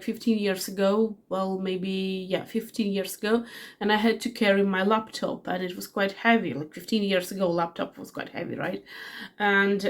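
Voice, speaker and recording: Casual: forties lady fighting a cold, rambly perfume review, lots of ums and restarts, mid-pitched voice. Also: darkish tone. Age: 40s. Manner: chatty, rambling with many fillers and restarts. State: has a cold. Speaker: fragrance reviewer. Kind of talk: casual product review vlog.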